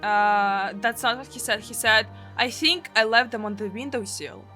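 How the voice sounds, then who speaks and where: Let's play casting: woman with Russian accent, bright highish voice, expressive translated storytelling, light music bed. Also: moderate pace. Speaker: gaming streamer. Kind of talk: let's play, reading a live translation aloud.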